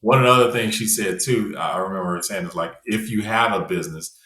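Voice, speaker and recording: A man's voice: on the low side, fast, roomy sound. A man from the United States chatting casually as a podcast guest, loose and conversational with lots of fillers. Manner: relaxed, conversational, many fillers like "you know". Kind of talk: casual interview chat.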